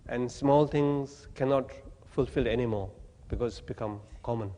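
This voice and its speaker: A man from Tibet, giving a Buddhist teaching in halting, plain English with gentle humor. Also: medium pitch, long reverb tail. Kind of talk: dharma talk to an audience. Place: talk venue with audience.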